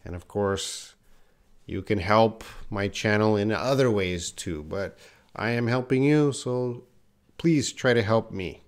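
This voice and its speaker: A man's voice: fairly low pitch, slow pace. A man, an English teacher, chatting on a live-stream class and answering viewers' comments as he reads them. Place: in Korea.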